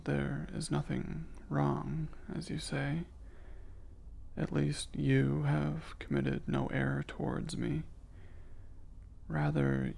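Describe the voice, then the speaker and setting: A man voicing a curious, emotionally reserved alien scientist in an intimate ASMR roleplay, speaking formally and precisely, with hesitant, measured diction. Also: a little high, creaky, darkish tone, slightly rough, exaggerated voice.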